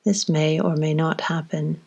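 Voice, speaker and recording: A woman leading a guided body scan meditation, giving slow, gentle instructions with long pauses between phrases. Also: sits low, fairly even intonation, gravelly, breathy edge, highs cut off.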